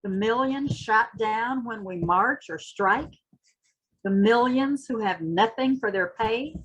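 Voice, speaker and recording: A woman giving a dramatic, impassioned poetry reading, with emphatic, deliberate phrasing and a husky voice. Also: on the high side, low-quality recording. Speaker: reader at a community literary read-in. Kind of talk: introduction and dramatic poetry recitation.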